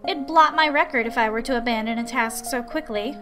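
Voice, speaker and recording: A woman's voice: on the high side, a little fast, music in the background, close to an everyday voice. A woman voicing a formal, proud, dutiful tutor in a visual novel, with precise wording. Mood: composed, determined.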